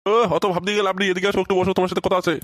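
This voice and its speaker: Man voicing a fast, whiny old cartoon husband. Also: sits high, little pitch movement, fairly bright tone, no echo, studio quality. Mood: irritated, grumbling. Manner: stammering, foul-mouthed, repeats himself. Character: henpecked old philandering husband, whiny, cowardly and grumbling. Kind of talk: amateur comic cartoon dubbing.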